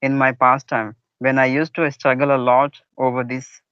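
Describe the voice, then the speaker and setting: A man's voice: medium pitch, flat delivery, normal speed, a bit bright, smooth, no room sound, muffled recording. A man, speaking politely and formally in a conversation practice chat and addressing his partner as ma'am.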